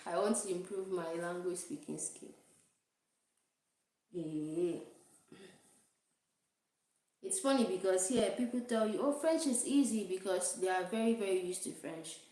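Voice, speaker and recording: Young Nigerian woman, low-ish voice, rambling self-deprecating livestream chat, very echoey room. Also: measured pace, long pauses, warm tone. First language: Igbo. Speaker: vlogger.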